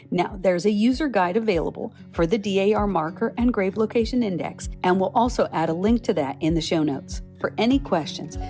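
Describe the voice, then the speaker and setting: A woman hosts a podcast and reads scripted information aloud. Her delivery is clear and instructive. Her voice has a warm, mellow tone. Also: lowish voice. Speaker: podcast host.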